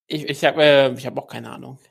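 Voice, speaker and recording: A man's voice: medium pitch, steady tone, a little fast, creaky, breathy edge. A man hosting a casual podcast chat, rambling and searching for words with frequent fillers. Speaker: podcast host. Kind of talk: casual chat about MMA news.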